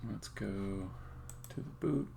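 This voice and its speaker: Low, very creaky-voiced man thinking aloud. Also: expressive, takes it slowly, dark tone. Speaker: game developer streaming. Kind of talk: casual live-coding commentary, thinking aloud. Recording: faint noise, keyboard typing and clicks. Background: computer keyboard typing and clicking.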